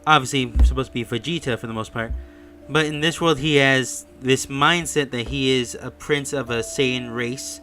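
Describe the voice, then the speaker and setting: A man's voice: medium pitch, pushed and bright. A man narrating an informal recap and review voice-over in a conversational, explanatory storytelling way, as a video creator would. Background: music.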